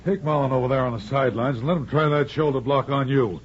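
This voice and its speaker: An actor playing a brisk, firm college football coach in 1940s radio drama style. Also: male voice, medium pitch, a little hiss or hum, natural voice. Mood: barking, instructing. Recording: muffled vintage 1940s radio recording.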